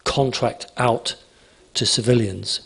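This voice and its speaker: A man's voice: mid-range voice, steady tone, slow, creaky, fairly bright tone, slightly rough. A man speaking at a conference, emphatic and repetitive, answering audience questions. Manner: emphatic, repeats phrases, asks "okay?".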